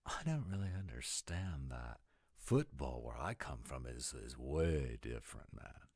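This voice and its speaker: A laid-back American slacker dude, voiced by a man for an audio roleplay. His voice is low and unhurried, with a goofy, dim-witted surfer drawl that rambles along. The sound is close and dry. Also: sing-song, darkish tone, slightly rough, no echo, heavily acted. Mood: goofy, upbeat.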